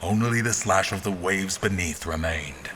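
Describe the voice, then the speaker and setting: A man's voice: low voice, a little slow, a bit bright, a little hiss or hum. An actor playing a formal male officer who recounts his memory in scripted, literary dramatic narration. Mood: grave, reflective.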